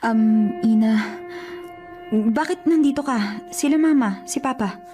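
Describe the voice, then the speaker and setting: A woman acts out a guarded young Filipino woman in love, with a mellow, dark-toned voice. She speaks earnestly and hesitantly in a radio drama, with music underneath. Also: a little high, a little slow, natural voice. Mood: alarmed.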